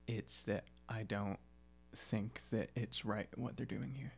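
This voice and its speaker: A man playing a tabletop game, improvising in character with casual, halting, joking banter. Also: lowish voice, fairly even intonation, vocal fry. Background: background music.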